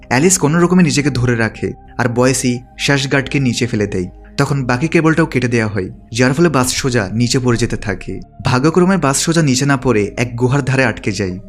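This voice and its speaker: A man reading a scripted story recap in a steady, continuous storytelling manner. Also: mid-range voice. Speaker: movie recap narrator. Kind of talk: scripted narration, plot recap.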